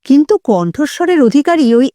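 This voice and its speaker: High-pitched, expressive woman narrating a story. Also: fairly quick, dry recording, clean studio sound. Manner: expressive, suspenseful. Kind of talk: dramatic scripted narration.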